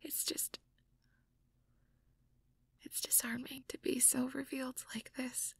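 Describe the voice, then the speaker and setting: Woman doing a shy nervous girlfriend mage for audio rp, high whispery voice, stammers and trails off, really close and dry. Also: little pitch movement, no echo, full character voice. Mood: self-conscious, vulnerable. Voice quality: breathy whisper. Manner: hesitant, stammering, halting. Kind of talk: intimate whispered audio roleplay. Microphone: close microphone, dry.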